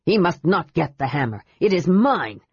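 A woman's voice: on the low side, big pitch swings, moderate pace, a little mellow, husky, no room sound, dull audio, heavily acted. Storybook dwarf: arrogant, short-tempered, clipped demands, as a woman narrator puts him on. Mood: curt. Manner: curt, demanding. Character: gruff, arrogant male dwarf.

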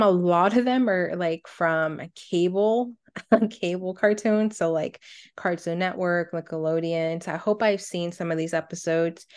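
A woman's voice: lowish voice, a little mellow, clean tone. A woman co-hosting a podcast, chatting casually and reacting her way through a countdown list. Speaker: podcast co-host.

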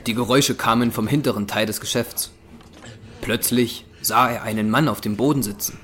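A man's voice: mid-range voice, fairly even intonation, normal speed, a bit bright. A man narrating a horror audio drama from a script.

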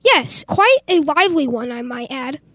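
A fussy, well-to-do gentleman of old-time radio theater acted by a young woman. The voice is very high and given to prim exclamations, oblivious to anything odd. The sound is dry, with no room around it. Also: big pitch swings, moderate pace, dry recording. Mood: polite, breezy. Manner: fussy, exclamatory, posh phrasing.

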